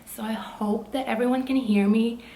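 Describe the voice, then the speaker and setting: A young woman with a naturally loud voice, chatting casually at home while doing her nails, frank and fond of swearing, full of likes and ums, holding her voice down. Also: on the high side, steady tone, normal speed, echoey room, poor audio. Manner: frank, swears freely, many "like" and "um" fillers; speaking softly to keep quiet. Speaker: vlogger, PhD student. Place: room at home.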